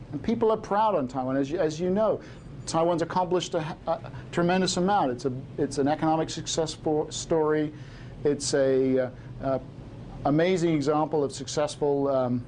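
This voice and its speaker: A middle-aged man from Hong Kong lecturing and answering audience questions, halting, with many fillers and restarts. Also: a little high, a little mellow, slight background noise.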